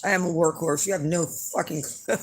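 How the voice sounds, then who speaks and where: A hoarse middle-aged woman chatting casually to her viewers as an astrology reader, rambling and blunt with lots of fillers. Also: low-pitched, fairly even intonation, moderate pace. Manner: rambling and blunt, with frequent fillers and some swearing. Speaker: astrology reader and channel host.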